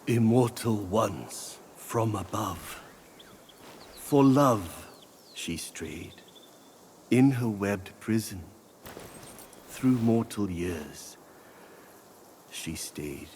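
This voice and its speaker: Low, slow, solemn sage. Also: male voice, expressive, darkish tone, a little hiss or hum. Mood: mournful reciting. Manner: measured, poetic, solemn. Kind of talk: solemn video game cutscene acting.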